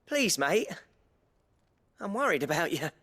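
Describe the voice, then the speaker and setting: An actor playing a friendly, cheeky working-class British sidekick in a video game, informal and eager. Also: male voice, fairly high pitch, very animated, measured pace, sharp tone, full character voice. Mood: pleading.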